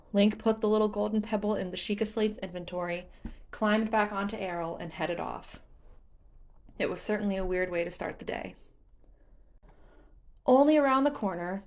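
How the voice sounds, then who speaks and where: A woman reading scripted fan fiction as an audiobook narrator. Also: medium pitch.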